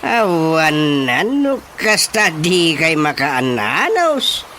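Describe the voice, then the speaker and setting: An actor playing an exasperated village chairman in an Ilocano radio drama comedy, with an Ilocano accent and drawn-out emphatic delivery. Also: fairly high pitch, very animated, a little hiss or hum, exaggerated voice. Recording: loud music bed under voice.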